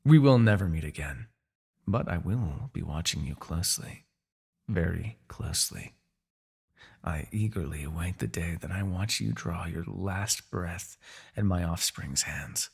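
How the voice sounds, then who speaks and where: A man playing a proud, vengeful demon king in a dramatic fantasy audio roleplay, with a husky, gravelly voice and a theatrical, gloating manner. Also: low voice, expressive, slow pace, long pauses, heavily acted. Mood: gloating, menacing. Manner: theatrical, gloating, with sighs and dark chuckles.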